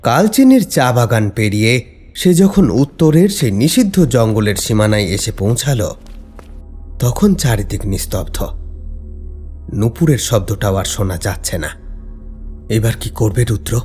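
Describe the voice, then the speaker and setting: Deep-voiced man narrating horror, music behind. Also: expressive, darkish tone. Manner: suspenseful, deliberate phrasing. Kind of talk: dramatic scripted narration.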